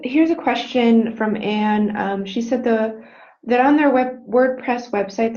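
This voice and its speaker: A woman moderating an informal webinar Q&A, relaying questions with hesitant pauses between phrases. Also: mid-range voice, a little mellow. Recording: dull, band-limited webinar audio.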